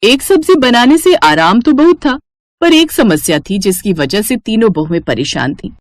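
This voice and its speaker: A woman's voice: on the high side, very animated, normal speed, no echo. A woman narrating a scripted family moral story.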